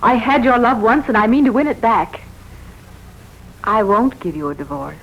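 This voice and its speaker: High-voiced, devoted radio wife. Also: female voice, animated, moderate pace, lo-fi sound. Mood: wounded, firm. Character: devoted, clinging, emotional wife desperate for love. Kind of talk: 1940s radio drama acting. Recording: hissy 1940s radio recording.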